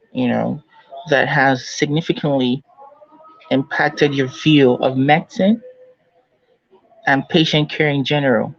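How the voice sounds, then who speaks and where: Creaky-voiced male interviewer, dull audio. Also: medium pitch. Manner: deliberate, peppered with "you know". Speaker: interviewer, host. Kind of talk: interview questions and appreciative remarks.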